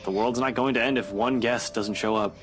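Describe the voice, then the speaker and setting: A man's voice: medium pitch, fairly even intonation, a little fast, lightly acted, poor audio. A man playing a dry, reluctant, sardonic young man in a visual novel game, with a laid-back manner. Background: game music.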